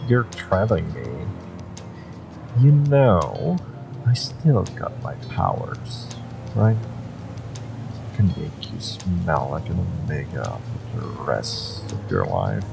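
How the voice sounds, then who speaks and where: A low, slow-talking jaded driver with a secret past, acted by a man in an audio roleplay. He is wry and world-weary, speaking in hesitant, offhand bits over clearly audible music. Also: big pitch swings, exaggerated voice, rough recording. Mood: wry teasing. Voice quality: low, gravelly. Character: jaded, evasive male Omega driver, secretly a former judge. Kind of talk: intimate conversational audio roleplay acting. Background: music and background ambience.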